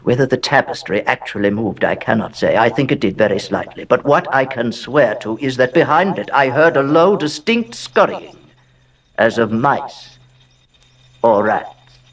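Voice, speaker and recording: An actor playing a haunted, aristocratic heir who recounts Gothic horror in a theatrical, deliberate way with dramatic pauses. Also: male voice, mid-range voice, animated, distant mic. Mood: uneasy, dreading. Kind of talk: dramatic horror narration, audio drama monologue.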